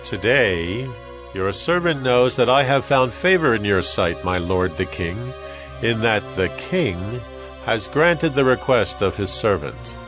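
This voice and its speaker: A man's voice: lowish voice, animated, measured pace, music in the background. An actor playing a grateful, deferential servant of a king in a dramatized Bible recording. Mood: humbly grateful. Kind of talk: dramatized Bible audio acting.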